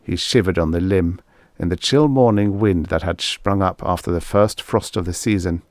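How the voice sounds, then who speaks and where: A man's voice: low-pitched, moderate pace, no echo, studio quality. A man reading a scripted story as an audiobook narrator.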